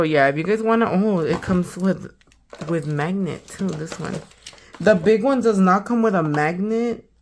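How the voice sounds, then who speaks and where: Slow, low-voiced woman chatting hoarsely. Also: slight background noise. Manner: chatty, slangy, trailing off to a whisper. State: slightly hoarse from a sore throat. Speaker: nail channel host. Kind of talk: casual product unboxing chat.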